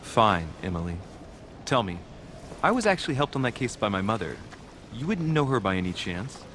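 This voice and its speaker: Courteous young diplomat, rumbling background. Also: male voice, sing-song, normal speed, a bit bright, a little hiss or hum. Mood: assured. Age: young adult. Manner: formal, courteous, questioning. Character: polite, self-assured young French diplomat, anxiously probing. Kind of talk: video game cutscene acting. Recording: constant rumbling ambience behind.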